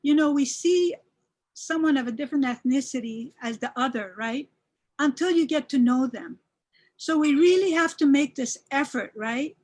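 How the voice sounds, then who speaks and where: A woman's voice: high-pitched, normal speed, a little mellow. A woman speaking as a panelist, reflecting earnestly and appreciatively on her experience.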